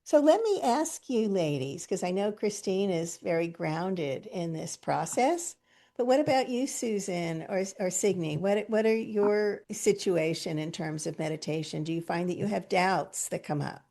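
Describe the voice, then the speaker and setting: A woman's voice: on the low side, animated, measured pace, creaky, dark tone. A woman hosting a group video call, chatty and enthusiastic, telling stories and laughing often. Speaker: host.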